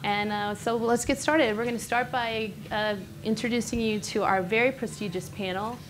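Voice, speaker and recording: A woman's voice: on the high side, fairly even intonation, normal speed. A woman moderating a panel discussion, speaking in a friendly way with occasional fillers. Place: event room. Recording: noisy room recording.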